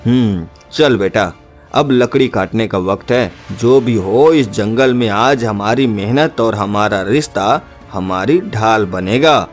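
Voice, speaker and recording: A man's voice: on the low side, slow, soft background music. A man playing a poor, protective woodcutter father, earnest and reassuring, in a cartoon story.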